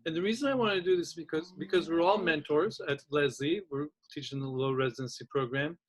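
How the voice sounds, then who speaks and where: A man's voice: a little high, a little fast, low-quality recording. A man raised in Turkey, hosting a casual conversation among writing teachers, speaking thoughtfully and stopping often to restart. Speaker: host of faculty round table.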